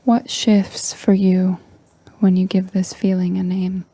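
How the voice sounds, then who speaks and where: Very mellow, slow-speaking woman guiding meditation. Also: medium pitch, no room sound. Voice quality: soft, breathy, often near a whisper. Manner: calm, gentle, unhurried with long pauses. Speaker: guided meditation leader. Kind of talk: slow guided meditation narration.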